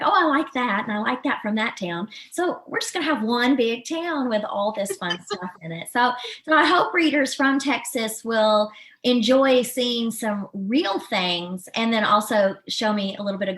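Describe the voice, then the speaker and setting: A woman's voice: a little high, lively intonation, moderate pace, fairly bright tone. Woman in informal conversation. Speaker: novelist being interviewed as podcast guest. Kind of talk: casual conversational interview.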